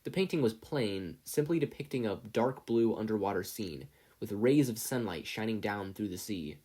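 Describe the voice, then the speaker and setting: Scripted story narration, middle pitch, audiobook reader. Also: lively intonation, moderate pace, mellow. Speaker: podfic narrator.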